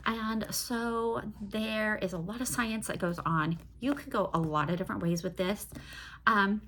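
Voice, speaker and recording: A woman's voice: mid-range voice, measured pace, fairly bright tone, slight background noise. A woman chatting casually about books in an enthusiastic, digressive way, like a host reviewing her reading. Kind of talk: casual book review chat.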